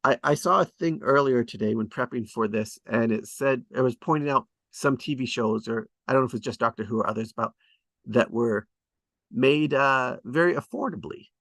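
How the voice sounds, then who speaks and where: A man's voice: mid-range voice, normal speed, creaky, fairly bright tone, no echo. A man hosting a table read, chatting casually and hesitantly about scriptwriting as he thinks aloud. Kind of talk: casual rambling discussion of screenwriting.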